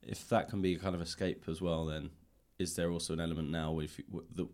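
A man's voice: sits low, flat delivery, quick pace, a little mellow. A man hosting a casual interview, feeling his way through questions in tentative, rambling phrases. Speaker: podcast host, interviewer. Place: indoors, upper floor of a public library.

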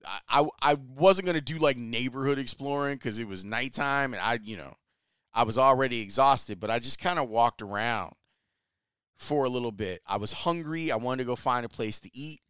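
A man's voice: medium pitch, normal speed, a bit bright, clean tone, dry recording, studio quality. A man casually telling a travel story in a chatty, enthusiastic way, using slang. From Chicago, US. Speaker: sports reporter.

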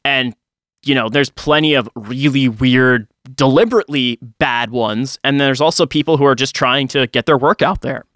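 A man co-hosting a podcast, talking casually in an animated, slangy way. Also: medium pitch, normal speed, dry recording, studio quality. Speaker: podcast co-host.

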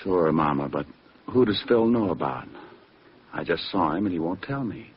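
An actor playing a wisecracking ex-cop private detective with a wry manner in a vintage radio drama. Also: male voice, sits low, expressive, moderate pace. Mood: gentle, soothing. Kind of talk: 1940s-50s radio detective acting. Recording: muffled, hissy old radio broadcast.

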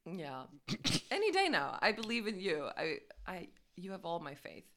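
A woman's voice: lowish voice, huge pitch swings, normal speed, fairly bright tone. A young woman from Denmark co-hosting a podcast, teasing with bawdy jokes and laughing a lot. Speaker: podcast co-host. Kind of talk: casual chat.

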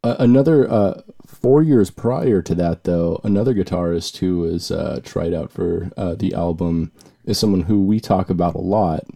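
A man with a fairly low voice talks through a casual music podcast. He rambles with plenty of fillers and stops to act out silly mock quotes. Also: moderate pace, gravelly, dark tone. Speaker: podcast co-host.

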